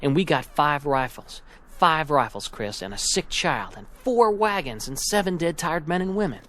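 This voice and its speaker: A man's voice: on the high side, expressive, moderate pace, fairly bright tone, slight background noise. A man playing a weary, sceptical frontier settler with rustic, folksy speech in a radio drama. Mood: wary concern. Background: music.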